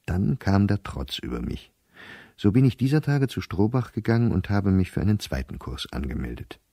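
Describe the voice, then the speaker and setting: Radio play: embittered, anxious diarist professor, measured delivery, dark mellow tone, close dry sound. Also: male voice, sits low, sing-song, gravelly, no echo, exaggerated voice. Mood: stubborn. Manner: measured, weary, dropping to near-whisper at grim thoughts. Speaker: diarist narrator. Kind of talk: literary radio play monologue, diary reading.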